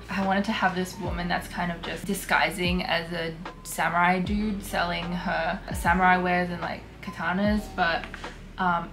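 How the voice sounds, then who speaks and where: A young woman from Hong Kong, a concept artist talking casually and candidly about her school projects, with lots of fillers, in a small home studio. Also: lowish voice. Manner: chatty, many fillers, relaxed and candid. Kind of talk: casual talk over artwork. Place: small bedroom studio, indoors. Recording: music playing underneath.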